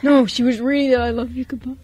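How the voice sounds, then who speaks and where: A woman's voice: sits high, level tone, fast, very noisy. A young woman hosting a student radio show in a casual, chatty way. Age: young adult. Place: radio studio.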